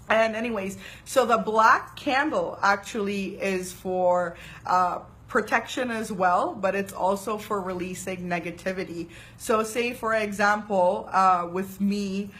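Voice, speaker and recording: A woman from Trinidad and Tobago giving a casual spiritual talk, chatty and animated, often checking in with "right?". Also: mid-range voice, low-quality recording. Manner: chatty, animated, frequent uh fillers and "right?" asides. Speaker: spiritual teacher.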